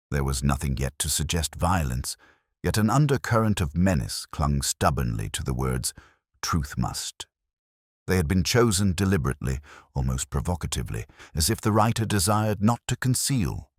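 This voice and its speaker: Very deep, husky male narrator. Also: dry recording, clean studio sound. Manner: measured, formal storytelling. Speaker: audiobook narrator. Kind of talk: scripted literary mystery narration.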